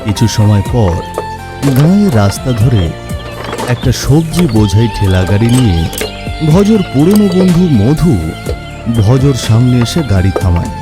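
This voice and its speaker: A man narrating an animated storybook as an expressive storyteller. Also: mid-range voice, a little slow, pauses often. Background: background music.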